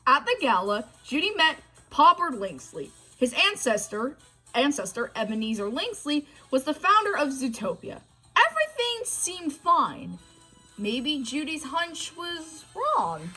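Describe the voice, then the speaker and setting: A woman's voice: high voice, sing-song, a little slow, pushed and bright, faint music behind, rough recording. A woman narrating a children's storybook read-aloud, telling the tale expressively. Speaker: storybook reader.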